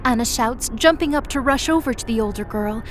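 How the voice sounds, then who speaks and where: A woman's voice: fairly high pitch, brisk. A woman narrator reading a scripted dramatic story. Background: music bed.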